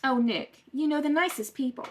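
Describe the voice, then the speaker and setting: A woman's voice: high-pitched, normal speed, close to an everyday voice. A woman playing a witty, doting, well-off detective's wife in an old-time radio play, arch and playful.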